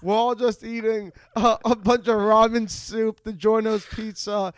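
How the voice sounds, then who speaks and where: A man hosting a comedic podcast over a video call, telling rambling, excitable stories with some profanity. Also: sits high, a little slow, clean tone. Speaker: podcast host.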